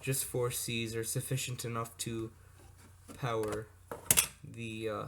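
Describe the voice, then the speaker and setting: A man with a mid-pitched voice talks casually, like a hobbyist presenting gear on a homemade video. He rambles off the cuff, adding asides and correcting himself as he goes. The amateur recording has clear background noise and clicks. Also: little pitch movement, takes it slowly. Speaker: hobbyist video presenter showing vintage electronics. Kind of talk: casual unscripted product demonstration.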